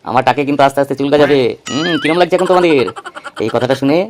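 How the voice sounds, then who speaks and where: A man's voice: on the high side, very fast, over music, lightly acted. A man voicing a gullible, earnest bald buffoon in a comic cartoon. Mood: hopeful.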